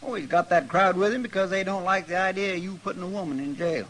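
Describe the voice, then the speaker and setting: An actor playing a folksy, anxious, obedient marshal's helper in an old radio western, fretful in manner. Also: male voice, sits high, fast talker, a bit bright. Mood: worried, urgent. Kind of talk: old-time radio western drama acting. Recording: noisy vintage radio recording.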